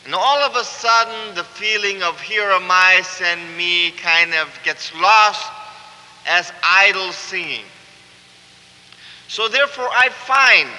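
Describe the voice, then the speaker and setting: A man's voice: sits high, pushed and bright. A man from Cuba, preaching an earnest sermon as pastor that builds to fervent emphasis. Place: church sanctuary. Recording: long church reverb.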